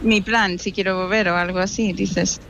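A woman's voice: mid-range voice, steady tone, normal speed, creaky, a bit bright, lo-fi sound, a little hiss or hum. Chatty American woman speaking Spanish. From United States. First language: English. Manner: chatty, mixes English phrases in. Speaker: interviewee. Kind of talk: casual interview.

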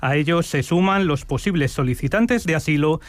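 Measured Spanish radio news anchor, man. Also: fairly high pitch, no echo, clean studio sound. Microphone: studio microphone.

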